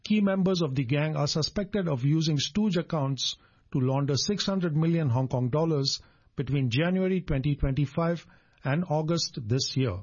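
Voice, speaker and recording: Guy reading radio news off a script, bit raspy, dull lo-fi audio. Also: on the high side, normal speed, a little mellow.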